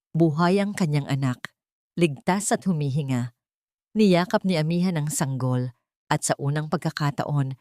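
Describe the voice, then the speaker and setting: Low-pitched woman narrating a dramatic story. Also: mellow, dry recording, studio quality.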